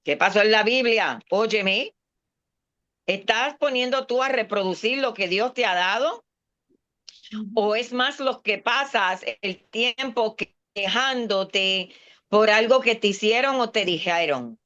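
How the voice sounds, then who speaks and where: Woman pastor, earnest sermon, mixes in English, higher voice, muffled recording. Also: slow pace, a bit bright. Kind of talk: sermon in a class. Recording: dull lo-fi sound.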